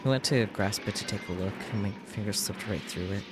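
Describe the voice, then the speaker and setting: A man's voice: on the low side, a little fast, over loud music. A man reading a visual novel's script aloud as a streamer, in a dramatic storytelling style. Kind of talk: dramatic read-aloud narration of a game script.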